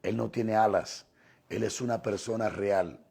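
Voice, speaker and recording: A man with a Dominican Spanish accent preaching and teaching scripture in deliberate, emphatic, repeated phrases with pauses. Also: lowish voice, steady tone, creaky, darkish tone, husky. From Dominican Republic.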